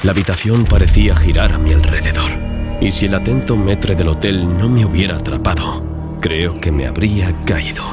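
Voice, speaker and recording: A man's voice: low voice, normal speed. A man reading a scripted first-person story as audiobook narration. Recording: music behind the voice. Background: clear background music.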